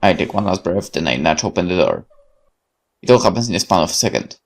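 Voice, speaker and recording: Dramatic male narrator with lively pitch. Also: quick pace, gravelly, a little hiss or hum. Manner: theatrical, intense, occasional stumbles over words. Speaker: gaming channel host reading a visual novel aloud. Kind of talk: dramatic scripted horror narration.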